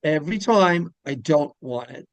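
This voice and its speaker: Male interview host, casual and rambling, with lots of fry and a very dry, close sound. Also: fairly high pitch, expressive, normal speed, no room sound. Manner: rambling, repeats phrases, griping about pet peeves.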